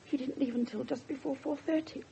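An actress playing an English mother of a missing girl in a 1970s radio drama, distressed, defensive and halting. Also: high-pitched, steady tone, creaky, dark tone, highs cut off. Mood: subdued, halting. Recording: noisy 1970s radio broadcast recording.

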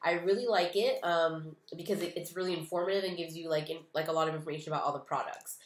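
A young woman in her twenties talks in a casual, rambling way, full of "um" and "like". Her voice sits fairly low and sounds stuffy and nasal from a cold. The small room she records in with her webcam adds a noticeable echo. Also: quick pace. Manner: chatty, rambling, many "um" and "like" fillers. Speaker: beauty vlogger. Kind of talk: casual unboxing chat.

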